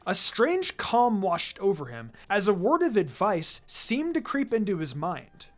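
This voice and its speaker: A narrator reading a fan fiction story aloud in an expressive, scripted storytelling style. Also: mid-range voice, unhurried. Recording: music behind the voice. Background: background music.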